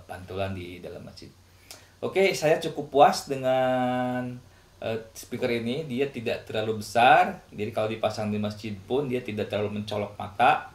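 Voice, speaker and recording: A man with an Indonesian accent giving a casual, conversational product review, explaining as he goes. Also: on the low side. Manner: explanatory, conversational, often tags phrases with "ya" and "gitu". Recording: echoey, reverberant room.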